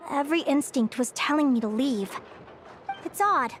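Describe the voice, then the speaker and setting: A woman voicing a young female bird fae, curious and adventurous, expressive and earnest in anime-style game dialogue. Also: high voice, moderate pace, slight background noise, lightly acted. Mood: pensive, curious.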